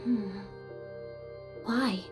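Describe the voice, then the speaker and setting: A woman's voice: on the high side, fairly even intonation, very slow, music in the background. A woman voicing a composed, self-assured young squad leader in a video game, cool and probing.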